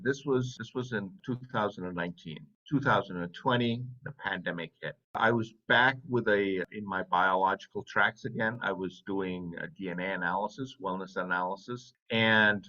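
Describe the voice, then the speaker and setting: A man's voice: low voice, pushed and bright. A man in his fifties who grew up in Saudi Arabia, talking casually as an interview guest with conversational asides. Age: 50s. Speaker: interview guest, business owner. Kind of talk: casual personal storytelling. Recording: dull lo-fi audio.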